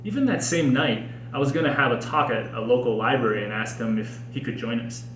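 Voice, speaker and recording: An American man reads his own personal writing aloud in an earnest, expressive way with deliberate phrasing. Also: medium pitch. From Minnesota, United States. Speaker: podcast host and author reading own book. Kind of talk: audiobook-style personal reading. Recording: roomy echo with faint background noise.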